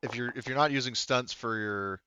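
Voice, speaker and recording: A man's voice: medium pitch, fairly quick, sharp tone, clear, resonant tone, dry recording. A man acting as game master in a casual tabletop game, thinking aloud and explaining rules in detail. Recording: dull, slightly muffled close recording.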